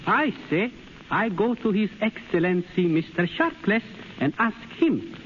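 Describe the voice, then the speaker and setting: High, swooping Japanese marriage broker, fawning and greedy, put-on accent, old radio drama. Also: male voice, expressive, a little slow, in a large hall. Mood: deferential. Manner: obsequious, broken English. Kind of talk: 1930s radio acting. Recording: old muffled hissy 1930s radio broadcast.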